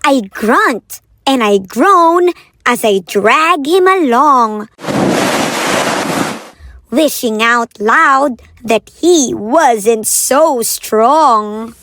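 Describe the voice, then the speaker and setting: A woman's voice: fairly high pitch, lively intonation, very slow. A woman reading picture books aloud for young children in a playful, theatrical storytelling manner. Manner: playful, theatrical, acts out the dialogue. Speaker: children's storyteller and channel host. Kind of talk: expressive picture-book read-aloud. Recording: very noisy background, clicks.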